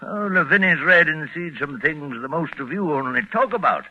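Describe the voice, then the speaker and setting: A man's voice: on the high side, expressive, normal speed. An actor playing a sly, boastful old New England country grandfather in a folksy, cryptic 1940s radio drama manner. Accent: rural New England. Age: older. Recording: dull vintage 1940s radio recording.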